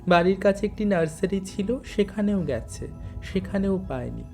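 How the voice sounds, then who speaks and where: A storyteller narrating a scripted audio tale in an unhurried, literary way. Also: medium pitch, expressive, mellow, soft background music.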